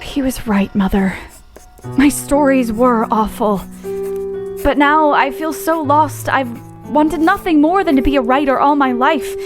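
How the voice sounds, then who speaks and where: A woman's voice: high-pitched, unhurried, music in the background. A woman playing an earnest, stubborn young woman writer in a period audio play. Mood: despondent.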